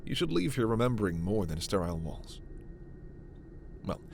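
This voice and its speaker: Guy doing a kind earnest alien scientist for sci-fi asmr, lowish gravelly voice, careful n hesitant. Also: big pitch swings, normal speed, darkish tone, slight background noise. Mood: gentle, fond. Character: gentle, earnest male alien scientist reassuring a human. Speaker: voice actor. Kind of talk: ASMR sci-fi roleplay acting.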